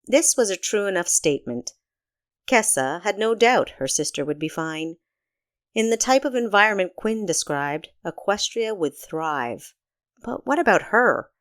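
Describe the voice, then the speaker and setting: A woman reading a story aloud as narrator in an expressive, scripted storytelling manner. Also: medium pitch, measured pace, no echo, studio quality. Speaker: author narrating own audiobook.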